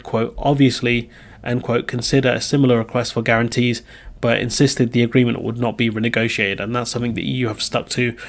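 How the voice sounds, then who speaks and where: A man recovering from a cold, reading news quotes and giving his opinionated political commentary with frequent fillers. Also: mid-range voice. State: hoarse from a cold.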